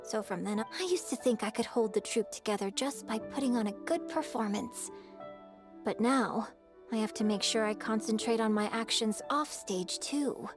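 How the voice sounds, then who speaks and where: An actress performs a young female opera star in a video game. The voice sits fairly high, with a polite, measured and modest manner. Faint music runs underneath. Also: natural voice. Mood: composed, resigned.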